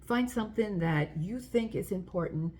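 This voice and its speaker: A woman's voice: mid-range voice, lively intonation, normal speed, darkish tone. A woman hosting a children's story time, reading aloud and speaking directly to young listeners in simple, explanatory language.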